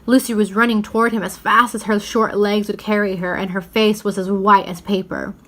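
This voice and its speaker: A woman reads a children's novel aloud in an expressive storytelling style, leaning into the dramatic words. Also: medium pitch, a little fast. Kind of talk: storybook read-aloud narration.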